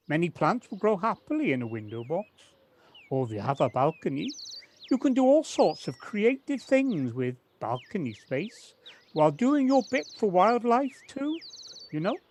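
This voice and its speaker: An actor playing a kindly, modest gardener in an audio drama, hesitant and polite with slight stammers. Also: male voice, high-pitched, darkish tone, slight background noise. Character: kindly, hesitant village gardener, Alan, modest and nature-loving. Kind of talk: amateur audio drama acting.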